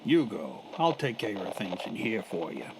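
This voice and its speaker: A man's voice: lowish voice, wildly swooping pitch, normal speed, creaky, full character voice. A man playing a shadowy impostor in an old-time radio drama, insinuating and politely insistent in his delivery. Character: shadowy smooth-talking impostor posing as studio newcomer, a man. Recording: noticeable background noise.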